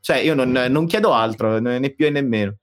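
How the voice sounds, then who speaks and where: A man's voice: mid-range voice, fairly quick, faint music behind. A man chatting informally and emphatically as a podcast guest. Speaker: podcast guest, amateur fan dubber. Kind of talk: casual chat.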